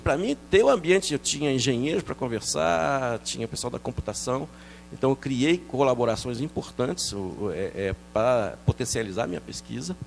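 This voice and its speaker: Man, middle-aged, from France, rapid speech, restarts and fillers, faint hum behind. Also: mid-range voice, vocal fry. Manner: fast, animated, with many restarts and fillers. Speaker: researcher guest. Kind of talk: TV interview, explaining science.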